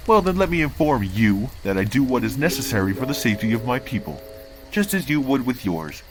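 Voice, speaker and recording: A man's voice: medium pitch, big pitch swings, a little fast, music in the background, close to an everyday voice, poor audio. A man playing a cold, disdainful council envoy, speaking formally and condescendingly in a comic dub. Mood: haughty. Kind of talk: amateur comic dub acting.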